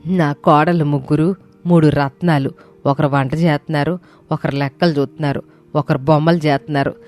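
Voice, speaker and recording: Woman playing a proud, mellow elderly mother-in-law. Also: low voice, fairly even intonation, a little fast, soft background music, voice pushed down, lightly acted. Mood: proud, pleased. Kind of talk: moral story character acting.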